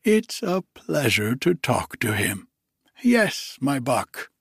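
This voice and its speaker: A man voicing an elderly, formerly miserly man who is now giddy with delight, exclaiming in a high, breathless voice. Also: big pitch swings, slow pace, gravelly, slightly rough, no room sound, studio quality, exaggerated voice. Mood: overjoyed.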